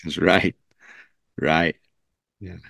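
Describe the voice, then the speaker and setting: A man's voice: low-pitched, steady tone, extremely slow, gravelly, fairly bright tone, husky, no room sound, studio quality. A man hosting an interview podcast over a video call, earnest and affirming, often adding short nods of agreement. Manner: earnest, affirming, frequent backchannels. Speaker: podcast host.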